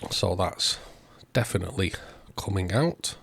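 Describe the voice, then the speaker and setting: A man hosting a repair video, talking through the work step by step in short, unhurried phrases. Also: deep voice, vocal fry, a bit bright, a little hiss or hum. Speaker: host of electronics repair videos. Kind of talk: narrated repair walkthrough.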